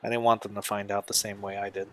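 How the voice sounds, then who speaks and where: An actor playing a troubled young man in an audio drama, speaking in an earnest, halting, confessional way. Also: on the low side, little pitch movement, a bit bright, lightly acted. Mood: bitter, anguished. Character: Steve, troubled young man recalling a painful family past.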